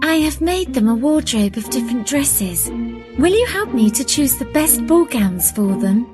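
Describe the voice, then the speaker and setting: A woman playing a gentle, put-upon young woman in a children's fairy tale, kind and polite. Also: high-pitched, big pitch swings, moderate pace, over music. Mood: helpful.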